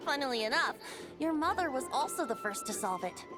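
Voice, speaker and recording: High, lilting, playful wandering bard. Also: female voice, wildly swooping pitch, moderate pace. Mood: playful, pleased. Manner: lilting, teasing, theatrical. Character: playful androgynous wandering bard with a teasing, breezy temper. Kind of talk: anime-style video game acting. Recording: game music playing behind.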